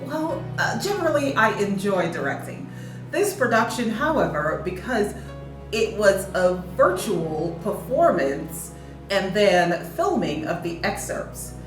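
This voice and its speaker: A woman's voice: medium pitch, big pitch swings, a little slow. A woman who is a theatre director, speaking reflectively in an interview with frequent fillers. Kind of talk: behind-the-scenes interview. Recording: echoey room, distant mic, music behind.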